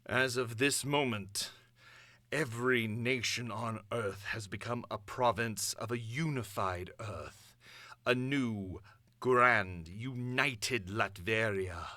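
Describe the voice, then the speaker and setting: A man performing a grandiose supervillain dictator's monologue, pompous and deliberate, addressing the world in imperious, theatrical declamation. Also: medium pitch, sharp tone, no room sound. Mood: triumphant. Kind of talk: dramatic villain monologue.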